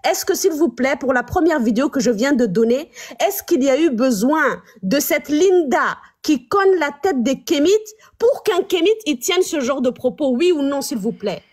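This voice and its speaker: A woman hosting a live stream, commenting on clips and putting rhetorical questions to her viewers in an animated way. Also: sits high, moderate pace.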